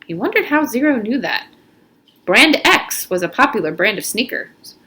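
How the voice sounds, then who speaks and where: Woman, children's story reader, expressive narration, bright voice, animated swings in pitch. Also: normal speed. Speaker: reader of a children's novel aloud. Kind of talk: read-aloud story narration with character voices.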